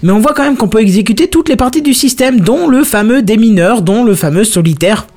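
A man hosting a live tech chat, his voice sitting a little high for a man. He talks in a loose, chatty way, tripping over words and circling back to repeat himself. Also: lively intonation, fairly quick, darkish tone. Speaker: podcast host. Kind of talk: casual tech news chat.

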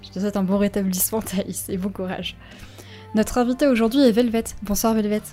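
A woman hosts a radio programme from the studio and reads a scripted chronicle in a clear, measured voice with a hint of drama. Her tone is mellow and warm, at a middle pitch, and a faint music bed plays under her. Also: fairly even intonation. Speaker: radio show host.

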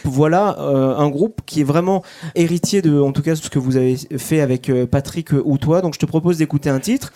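A man with a slightly raised yet mellow, warm voice hosting a radio interview. He builds long questions slowly, pausing and restarting as he goes. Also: a little high. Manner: halting questions with frequent hesitations. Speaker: radio host.

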